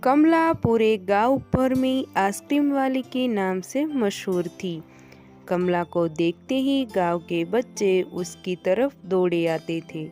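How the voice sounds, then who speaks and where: A woman's voice: mid-range voice, lively intonation, slow, warm tone, soft background music. A woman narrating a scripted moral story for children.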